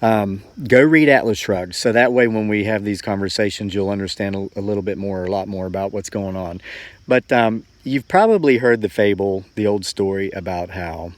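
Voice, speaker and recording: A man's voice: lowish voice, normal speed, dry recording. A man in his forties talking casually and reflectively to viewers as a homesteading vlogger, with a folksy, earnest, rambling way of telling things. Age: forty-four.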